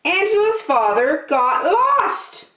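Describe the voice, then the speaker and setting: A woman hosting story time, reading a children's book aloud in an expressive, deliberate, sing-song way. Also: very high voice. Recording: echoey room.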